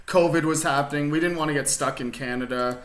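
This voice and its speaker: Laid-back rambling man, bright roomy voice. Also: a little high, a little fast. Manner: rambling and laid-back, with many fillers and restarts. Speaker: chess streamer. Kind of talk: casual chat with viewers while playing. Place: home in a rural desert area. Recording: roomy echo.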